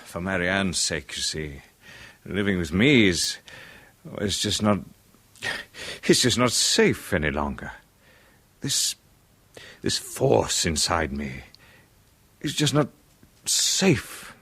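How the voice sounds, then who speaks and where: A tormented, haunted musician: low, slow, halting and stammering, with bitter laughs. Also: male voice, very animated, fairly bright tone, breathy edge, exaggerated voice. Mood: halting, troubled. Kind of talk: 1970s radio drama acting.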